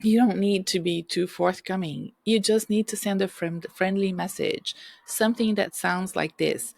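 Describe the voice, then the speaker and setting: Middle-aged lady podcast host, husky gravelly hoarse voice, dry close sound. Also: medium pitch, steady tone, normal speed, a little mellow, dry recording. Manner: direct, coaching, checks in with "okay?". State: hoarse from illness. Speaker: podcast host and career coach. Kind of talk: solo podcast advice talk. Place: home room.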